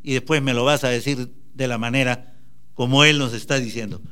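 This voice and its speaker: A man's voice: medium pitch, steady tone, brisk, pushed and bright, clear, resonant tone. A man giving a motivational talk, emphatically urging the listener on and addressing them directly. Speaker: motivational speaker.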